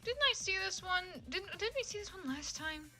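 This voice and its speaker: A woman's voice: very high-pitched, lively intonation, normal speed, loud music behind the voice. A woman livestreaming fan fiction readings in a casual, sweary, self-mocking way. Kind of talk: casual livestream chat and comic read-aloud.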